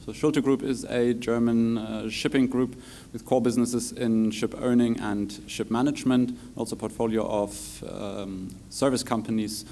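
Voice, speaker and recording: A man's voice: medium pitch, mellow, a little hiss or hum. Businesslike man on a conference panel. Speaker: investment director, panelist.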